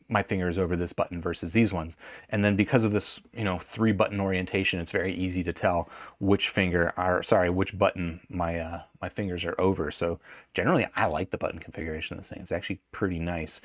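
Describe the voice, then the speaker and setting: A man with a fairly low voice talks through a product review in a casual, unscripted way. He thinks aloud and rephrases as he goes, conversational throughout. The sound is dry, with little room around the voice. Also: dry recording.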